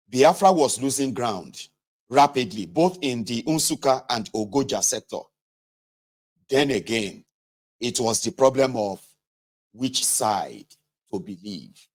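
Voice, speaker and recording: A man reading a first-person memoir aloud in measured phrases, with emphatic pauses. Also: mid-range voice. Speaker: reader of a political memoir.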